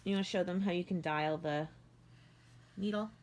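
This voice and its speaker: A woman's voice: on the low side, normal speed, slight background noise. A congested, sniffly young woman chatting casually and laughing often as she co-hosts a pregnancy vlog. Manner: chatty, laughs often, talks sweetly to pets. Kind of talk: casual chat.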